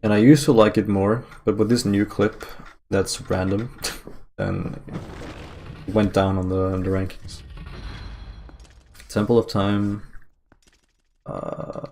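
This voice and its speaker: A man streaming a speedrun, talking in casual, rambling commentary with a monotone delivery, speaking English as a second language. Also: fairly low pitch, slow, pauses often, slight background noise. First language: not English. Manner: monotone, rambling, self-correcting. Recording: game music playing behind.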